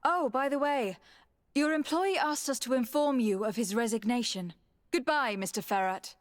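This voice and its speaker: A woman's voice: on the high side, normal speed, no room sound, studio quality, close to an everyday voice. A woman playing a composed, assertive young noblewoman diplomat in a video game, speaking in a polite, formal and firm way. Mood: firm, commanding. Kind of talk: video game dialogue acting.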